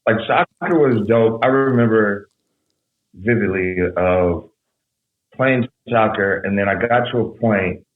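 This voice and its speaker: A young man, a podcast guest, telling his story in a relaxed, rambling way. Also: fairly low pitch, takes it slowly. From San Jose, California. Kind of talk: casual conversation. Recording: tinny phone-like echoey sound.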